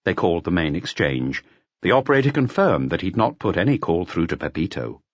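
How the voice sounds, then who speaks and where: A man's voice: deep voice, sing-song, a little fast, no echo, dull audio. A man reading a detective novel aloud as a scripted audiobook narration.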